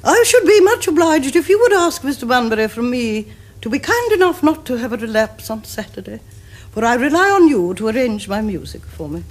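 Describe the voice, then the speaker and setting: An actress playing a grand, disapproving middle-aged society aunt with an upper-class English accent, pompous and precise. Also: female voice, fairly high pitch, sing-song, brisk. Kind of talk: period stage comedy acting. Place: furnished studio drawing-room set. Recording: noisy old TV drama recording.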